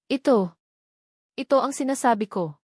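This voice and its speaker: A woman's voice: fairly high pitch, animated, moderate pace, no echo, clean studio sound, voice pushed up. A woman playing a betrayed, furious wife in an audio drama, accusing and anguished in an emphatic way. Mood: angry accusing. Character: betrayed, furious wife lashing out at her ex-husband. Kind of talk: Tagalog audio drama acting.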